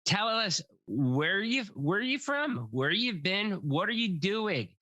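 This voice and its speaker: A man's voice: high voice, very animated, slow, bright, forceful tone, dry recording. A middle-aged man hosting a podcast, upbeat and jokey, chatting casually with a guest. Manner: upbeat, jokey, repeats himself. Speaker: podcast host. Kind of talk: casual interview chat.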